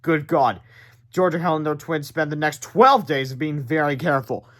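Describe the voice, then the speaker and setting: A man's voice: a little high, moderate pace. A man narrating a children's storybook aloud in a theatrical, informal way.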